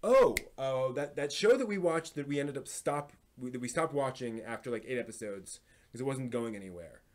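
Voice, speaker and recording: A man hosting a live stream, chatting casually and giving recommendations in a halting way, often thinking aloud. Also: medium pitch, lively intonation. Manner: halting, thinks aloud, hums filler syllables while searching.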